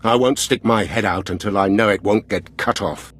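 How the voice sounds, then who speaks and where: An actor playing a cautious, sceptical Imperial officer in a video game, dismissive in manner. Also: male voice, lowish voice, normal speed, a bit bright.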